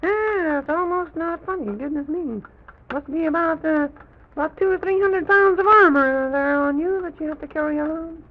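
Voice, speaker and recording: A performer playing a quaint, rambling, excitable little old gentleman in an old children's radio serial, with a high, creaky voice. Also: normal speed, natural voice. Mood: fussy. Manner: rambling, repetitive, exclaims "goodness me". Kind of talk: 1920s-30s children's radio serial acting. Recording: tinny, noisy old radio recording.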